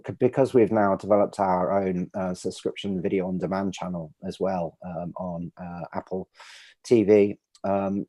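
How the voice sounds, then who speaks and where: A man who runs a film distribution company, speaking on a video panel in a halting way with many ums and uhs. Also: sits low, mellow, no room sound. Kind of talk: casual panel discussion.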